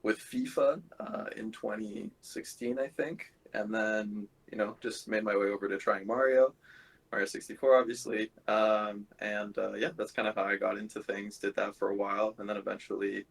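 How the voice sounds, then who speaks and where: A man's voice: lowish voice, fairly even intonation, normal speed, creaky, husky. A man from Canada chatting casually as a podcast guest, laid-back and informal. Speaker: podcast guest, event organiser. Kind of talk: casual chat.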